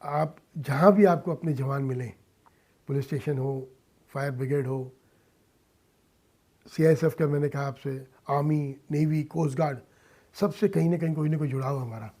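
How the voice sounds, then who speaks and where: Emphatic mature man, slightly high mellow voice. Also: steady tone. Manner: emphatic, deliberate, short phrases, respectful address, some English mixed in. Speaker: interview guest, social-cause filmmaker and philanthropist. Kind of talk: earnest radio interview talk.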